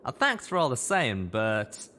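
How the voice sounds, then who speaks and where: A man's voice: mid-range voice, huge pitch swings, a little slow, bright, forceful tone, pitched above the performer's own voice, exaggerated voice. A plain-spoken cowboy pegasus played by a man with a drawl. He is folksy, blunt and loyal, and his lines swing animatedly in a storybook drama. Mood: modest.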